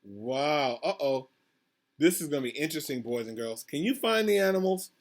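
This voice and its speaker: A man's voice: a little high, lively intonation, moderate pace. A man, a school principal, reading a picture book aloud to children, with asides and questions put straight to them. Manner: addresses children directly, asks questions, repeats himself.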